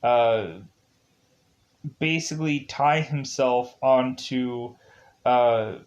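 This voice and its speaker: A man reviewing episodes in a casual, rambling way, halting often and circling back over his phrases. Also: mid-range voice, muffled recording.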